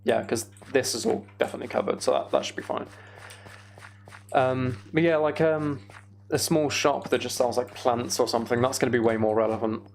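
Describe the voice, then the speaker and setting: A man's voice: medium pitch, steady tone, fairly quick, gravelly. A British man chatting casually on a livestream while he plays a game, rambling and thinking aloud. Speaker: streamer.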